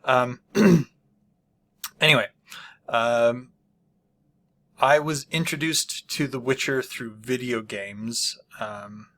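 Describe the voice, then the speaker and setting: A man hosting his own channel, casually reviewing a book with many pauses, ums and frequent throat clearing. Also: medium pitch, sharp tone. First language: not Polish.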